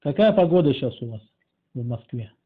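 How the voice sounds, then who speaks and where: A man's voice: medium pitch, animated, brisk, slightly rough. Sarcastic man on a muffled call. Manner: sarcastic, teasing, drawling. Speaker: channel author pranking a phone scammer. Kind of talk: casual mocking phone chat.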